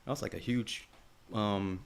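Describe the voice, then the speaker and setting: A man's voice: fairly low pitch, slow, creaky, clear, resonant tone. A man chatting casually as a podcast host, slangy and prone to swearing. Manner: slangy, peppered with "you know what I mean", swears freely. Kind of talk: casual chat.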